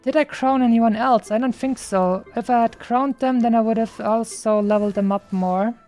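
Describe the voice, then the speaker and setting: A woman's voice: fairly high pitch, steady tone, normal speed, a little mellow, soft background music. A woman streamer giving casual, chatty gameplay commentary, rambling and thinking aloud as she goes.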